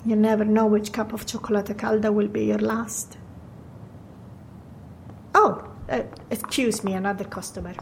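A woman's voice: medium pitch, steady tone, normal speed, creaky, long pauses, a little hiss or hum, lightly acted. A woman playing a chatty, fatalistic Venetian waitress in an audio drama, speaking English with an Italian accent and non-native phrasing. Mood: polite. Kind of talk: naturalistic audio drama acting.

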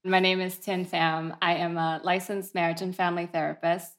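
A therapist who was born in Vietnam, calmly explaining history and family trauma as an interview guest. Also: male voice, high voice, normal speed. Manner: calm, explanatory.

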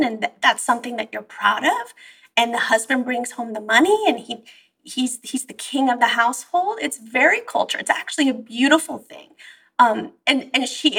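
A woman, born in Iran and raised in the US, telling stories in an animated, conversational way as an interview guest. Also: on the high side, gravelly, husky, distant mic. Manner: animated storytelling, quoting herself and her mother. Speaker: therapist guest.

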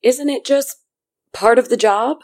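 An actress playing a doctor's dry, teasing, quietly wounded partner in intimate audio drama dialogue. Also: female voice, fairly high pitch. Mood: soothing. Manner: dry, unhurried, gently sardonic.

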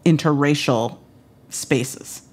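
A woman's voice: sits low, slow, darkish tone. A woman with an American accent explaining things as an academic guest in an interview, emphatic and articulate.

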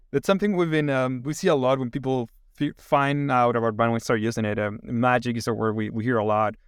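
Halting man with smooth, dry voice. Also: medium pitch, steady tone, dry recording. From Chile. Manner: halting, many fillers and restarts. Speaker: AI company founder giving a demo. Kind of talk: casual interview.

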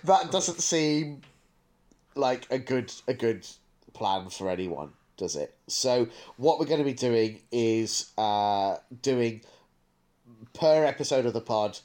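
A man's voice: mid-range voice, lively intonation, slow, a little mellow. A man co-hosting a podcast in casual chat, thinking aloud as he talks at length. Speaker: podcast co-host.

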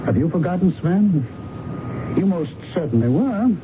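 A man's voice: high voice, very animated, a little slow. A confident radio-drama investigator who lays out accusations with smug deliberation. His delivery swings expressively, under heavy hiss and a dull vintage broadcast sound. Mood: smugly accusing. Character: confident male American investigator confronting a captured criminal.

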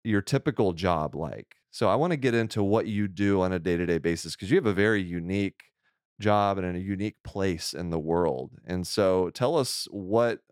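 A man's voice: deep voice, moderate pace, no echo, clean studio sound. A man hosting a casual interview, chatty and joking, with frequent restarts. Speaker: podcast host.

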